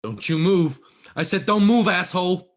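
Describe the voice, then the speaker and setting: A man's voice: high-pitched, animated, moderate pace. A man playing an aggressive, foul-mouthed police officer in a scripted table read, barking his words. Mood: furious, threatening. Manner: barking, profane commands. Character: aggressive male cop, foul-mouthed, panicky and self-justifying.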